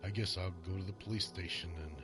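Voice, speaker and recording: A man's voice: deep voice, fairly even intonation, quick pace, heavy background noise, natural voice. An actor playing a subdued, remorseful man in a radio drama. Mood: subdued, resigned. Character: subdued remorseful man ready to surrender to police. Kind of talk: modern radio drama acting.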